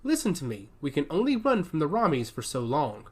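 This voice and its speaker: A man's voice: fairly high pitch, huge pitch swings, fairly quick, breathy edge, exaggerated voice. A man playing a solemn, tender older father. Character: older father, gentle, solemn leader fleeing enemies.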